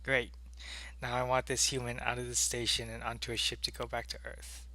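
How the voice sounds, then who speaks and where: A man playing a devoted alien soldier in an ASMR audio roleplay, with a gravelly, earnest and deliberate delivery. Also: mid-range voice, monotone, pushed and bright. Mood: demanding, hurt. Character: devoted alien soldier, gruff in battle, tender with his lover.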